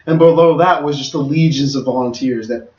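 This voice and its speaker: A man's voice: mid-range voice, fairly quick, a little mellow. A man organizing tournaments, talking through a casual podcast recap in a rambling way with many restarts. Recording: roomy, distant, dull mic.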